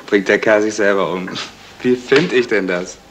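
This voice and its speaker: A man's voice: on the low side, moderate pace, natural voice. An actor playing a blunt, incredulous man in a radio crime play. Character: blunt, loud, incredulous male guest. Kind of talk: 1970s German radio crime play acting. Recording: noisy vintage radio play recording.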